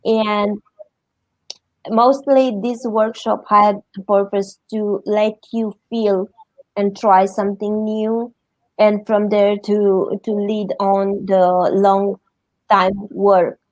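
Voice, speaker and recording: A middle-aged woman from Romania with a Romanian accent, answering interview questions haltingly as she searches for words in English. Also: medium pitch, little pitch movement, darkish tone, no echo, low-quality recording. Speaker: interview guest.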